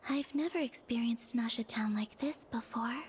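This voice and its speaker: An actress playing a wistful, innocent, ethereal young maiden in a video game, breathy and hushed. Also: female voice, fairly high pitch, moderate pace, slight background noise, natural voice. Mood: wondering.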